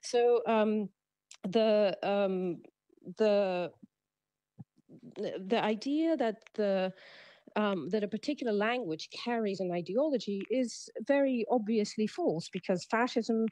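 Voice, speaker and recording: A woman's voice: medium pitch, slow pace, darkish tone, dry recording. A woman explaining an argument as an interview guest, hesitant and searching for words. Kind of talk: explanatory discussion.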